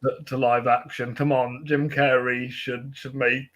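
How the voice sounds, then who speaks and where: A man hosting a film podcast, chatting casually and haltingly, with false starts along the way. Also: mid-range voice, little pitch movement, fairly bright tone.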